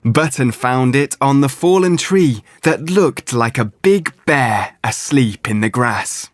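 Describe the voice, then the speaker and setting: Guy reading kids bedtime story, slow n gentle, dry sound, music under it. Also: mid-range voice, expressive, no room sound. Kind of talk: scripted children's bedtime story narration.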